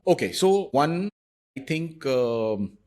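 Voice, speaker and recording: A man's voice: medium pitch, sing-song, slow, darkish tone. A man, a marketing executive, answering interview questions in a thoughtful, explanatory way with frequent fillers.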